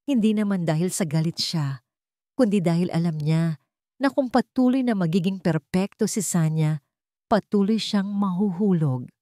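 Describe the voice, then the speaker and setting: Casting: female storyteller, lowish pitch, scripted dramatic romance narration, very dry close recording. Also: normal speed, warm tone, dry recording.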